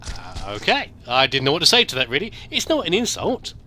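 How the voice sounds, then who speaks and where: Brief: middle-aged British man, bright voice, rambling game-commentary storyteller, faint hiss behind. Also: mid-range voice, huge pitch swings, brisk. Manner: rambling, self-deprecating, hesitant restarts. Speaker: gameplay commentator. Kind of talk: casual storytelling over gameplay.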